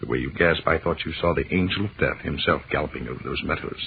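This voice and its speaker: An actor playing a wry, romantic astronomy professor and husband with florid, mock-pompous delivery in an old radio drama. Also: male voice, extremely low pitch, quick pace, gravelly. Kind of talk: 1940s radio drama acting. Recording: muffled, noisy old radio recording.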